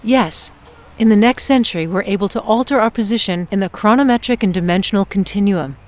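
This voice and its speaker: A woman's voice: mid-range voice, brisk, darkish tone, lightly acted. Woman playing a prim, formal envoy from the future. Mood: prim, composed. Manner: formal, precise, businesslike, persuasive. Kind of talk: audio drama acting.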